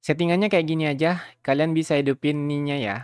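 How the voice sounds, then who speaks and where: A man giving a casual software tutorial in an informal, slangy, chatty way, addressing viewers as a YouTuber. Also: a little high, steady tone, fast talker, smooth.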